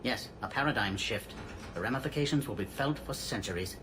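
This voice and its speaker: An actor playing a dry, anxious alien scientist in a video game, precise and formal in speech. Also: male voice, on the low side, a little fast, natural voice. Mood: thoughtful. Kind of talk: video game cutscene acting. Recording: long reverb, noisy ambience behind.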